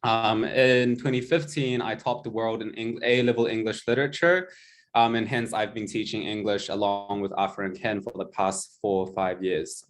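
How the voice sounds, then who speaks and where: Chatty New Zealand man, low voice. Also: fairly even intonation, moderate pace. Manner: chatty, self-mocking, many ums. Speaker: guest presenter, tutor. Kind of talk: casual online presentation. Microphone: video call.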